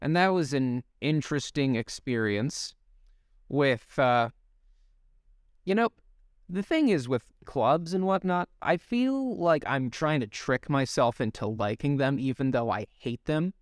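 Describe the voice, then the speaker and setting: Young man rambling, with a lively voice. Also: medium pitch, a little slow, no room sound, studio quality. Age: young adult. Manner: rambling, swearing, with many fillers and restarts. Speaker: podcast co-host. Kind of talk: casual chat.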